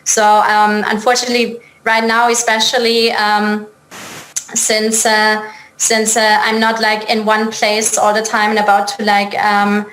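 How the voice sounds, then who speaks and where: A woman from Germany with a German accent, speaking as an interview guest and company chief executive in a casual, somewhat halting way. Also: medium pitch, monotone, fairly bright tone. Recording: echoey room, faint background noise.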